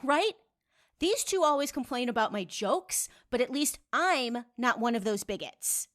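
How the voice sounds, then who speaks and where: A woman's voice: on the high side, lively intonation, a little slow, fairly bright tone, no room sound, studio quality. A woman acting a blunt, gossipy cafe customer in a fantasy audio drama. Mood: chatty. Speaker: voice actor in a fiction podcast.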